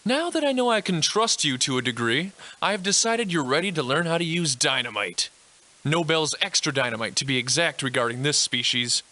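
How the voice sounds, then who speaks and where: A man's voice: a little high, lively intonation, brisk, bright, forceful tone. A man voicing a pompous, eccentric Victorian-style instructor in a video game, cheerfully insulting and florid in his old-fashioned phrasing. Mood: stern, patronizing. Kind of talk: theatrical video game tutorial narration.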